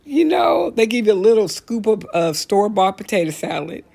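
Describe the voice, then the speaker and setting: Low-voiced American woman, blunt casual storyteller. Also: moderate pace, creaky. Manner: animated, blunt, colloquial, acts out quotes and repeats phrases for emphasis. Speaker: talk show host. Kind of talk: casual comedic rant with storytelling.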